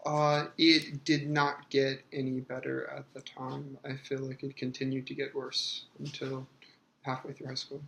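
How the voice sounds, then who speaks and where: A man in his thirties answering interview questions hesitantly, with many fillers and pauses. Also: medium pitch, monotone, vocal fry, fairly bright tone, echoey room, a little hiss or hum. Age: 30s.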